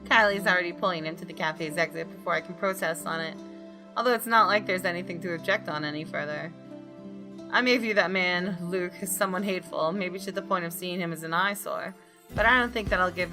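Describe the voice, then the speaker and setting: A woman's voice: fairly low pitch, brisk. A woman hosting a gaming channel, reading visual novel narration aloud and commenting casually. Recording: music behind the voice.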